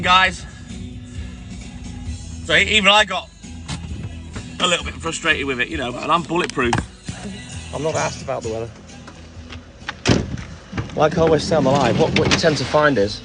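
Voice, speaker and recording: A man who works as a milkman chatting casually on a live stream, rambling through stories and greeting viewers by name, with a mixed English accent that belongs to no one region. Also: a little high. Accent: mixed English, no clear regional accent. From English Midlands, raised partly in North Wales. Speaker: milkman livestreamer. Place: in and around a milk van with his dog.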